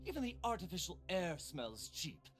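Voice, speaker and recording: An actor playing a haughty, whiny rich snob in a video game, theatrical and disdainful. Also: male voice, sits high, huge pitch swings, normal speed.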